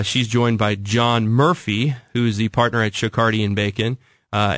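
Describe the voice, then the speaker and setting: A man's voice: lowish voice, a bit bright, no echo, clean studio sound. An American man hosting an interview show in a conversational style, introducing guests and asking questions. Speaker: talk show host.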